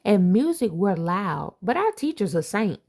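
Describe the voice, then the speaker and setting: Slow, lively storytelling woman. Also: medium pitch. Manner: expressive storybook reading with playful asides. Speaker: assistant principal reading aloud. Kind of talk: children's picture book read-aloud.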